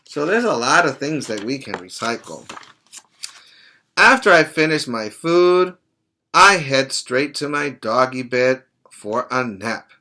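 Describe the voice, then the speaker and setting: A middle-aged man teaching preschoolers, reading aloud and explaining things in a deliberate, didactic way. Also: medium pitch, very animated, pushed and bright. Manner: deliberate, didactic, stopping to explain and check understanding. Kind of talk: read-aloud to young children with plain explanations. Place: small indoor room at home.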